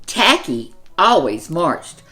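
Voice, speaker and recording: A woman reading a children's picture book aloud as a storyteller, with expressive, deliberate, dramatic phrasing. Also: low voice, faint music behind.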